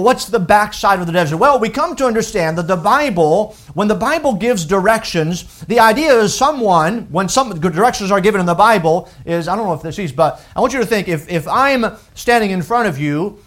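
A man around forty, raised in France with a French accent, preaching a sermon with lively delivery and stammered restarts. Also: high voice.

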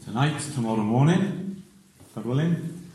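A man's voice: mid-range voice, expressive, measured pace, mellow, slight background noise. A man from South Wales with a Welsh accent, preaching a sermon as a visiting preacher, earnest and building to emphatic declarations. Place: church sanctuary. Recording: echoey church from far off.

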